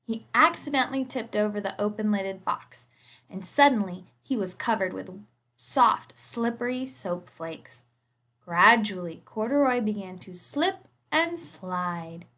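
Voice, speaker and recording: A woman's voice: mid-range voice, expressive, unhurried, pushed and bright. A woman reading a children's storybook aloud expressively, like a teacher.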